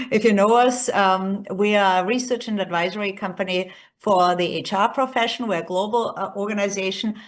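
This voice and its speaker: A woman's voice: medium pitch, fairly even intonation, moderate pace. A woman from Austria with an Austrian accent, a native German speaker, giving a semi-scripted conference keynote as a research executive. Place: remote presentation by screen share.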